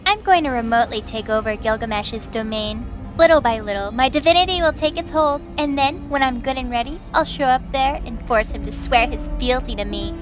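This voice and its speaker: A woman's voice: fairly high pitch, brisk, close to an everyday voice. A woman playing a malevolent young goddess in an audio drama, sly, taunting and menacing. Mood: gloating menace. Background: music.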